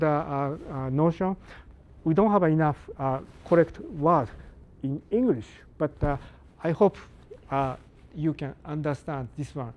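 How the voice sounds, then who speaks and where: Older man, halting lecture. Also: fairly high pitch, darkish tone. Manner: halting non-native English with many pauses and restarts; engages the audience with questions. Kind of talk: live academic talk to an audience.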